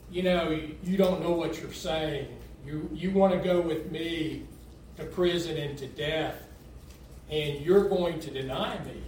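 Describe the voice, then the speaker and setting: A man's voice: fairly high pitch, darkish tone, a little hiss or hum. A man from Texas giving a folksy, conversational sermon as a visiting lay preacher, asking the congregation questions as he goes. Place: church sanctuary. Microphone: standing away from the mic. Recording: very echoey church hall, mic far off.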